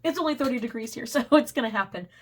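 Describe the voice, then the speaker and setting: Woman, tarot reader, somewhat high voice, hoarse and going squeaky, chatty, laughs a lot. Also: expressive, racing, a little hiss or hum. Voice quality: getting squeaky. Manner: chatty, rambling, laughs often. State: losing her voice, getting a cold. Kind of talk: casual tarot reading to camera.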